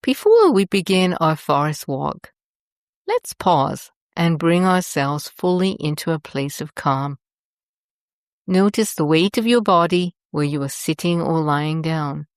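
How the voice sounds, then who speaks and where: Slow, low-pitched woman guiding meditation. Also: lively intonation, no room sound, clean studio sound. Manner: gentle, soothing, deliberate. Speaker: host and meditation guide. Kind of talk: guided meditation narration.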